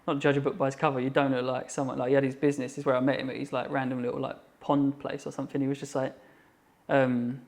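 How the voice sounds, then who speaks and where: Fast-talking man, flat delivery. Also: mid-range voice, creaky. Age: 30s. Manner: rambling, filler-heavy, quick. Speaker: guest. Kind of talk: casual chat.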